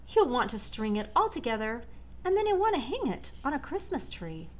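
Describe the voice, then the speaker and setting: American woman reading aloud, lively pitch. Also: moderate pace. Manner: expressive, addressing young listeners directly. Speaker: storyteller reading a picture book to children. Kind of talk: read-aloud of a children's storybook with chatty asides. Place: large living room, indoors. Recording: clear background room noise.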